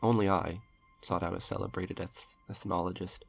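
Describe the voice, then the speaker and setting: A man's voice: low voice, level tone, moderate pace, vocal fry, husky. A man narrating an eerie short story in a dramatic audiobook style, as a storyteller reading aloud. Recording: music under the voice. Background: eerie background music.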